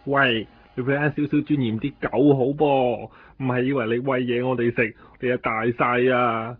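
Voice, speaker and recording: A man with a Hong Kong Cantonese accent voicing a defiant, indignant stray dog in a radio drama, with a husky voice. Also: mid-range voice, fairly even intonation, normal speed, lightly acted. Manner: indignant, stammering when threatened. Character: defiant stray male dog talking back to his keeper. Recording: dull, lo-fi 1990s radio recording.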